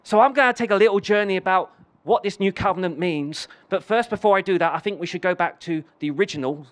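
A man preaches with a high, light voice. He tells his story in halting runs full of restarts, then presses his points home with firm, emphatic lines. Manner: rambling storytelling with frequent restarts, building to emphatic declarations. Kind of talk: sermon with a personal story.

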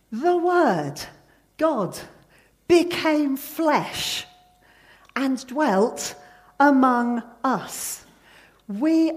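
A woman's voice: on the high side, darkish tone. A woman preacher giving an earnest sermon, quoting scripture and hymn verses with emphasis.

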